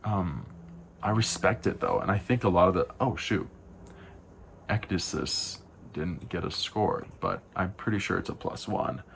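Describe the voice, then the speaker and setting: A young man with a deep voice talking casually through album reviews, frank and rambling, correcting himself with sighs and asides. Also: measured pace, vocal fry, a bit bright, a little hiss or hum. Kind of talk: casual spoken album rundown.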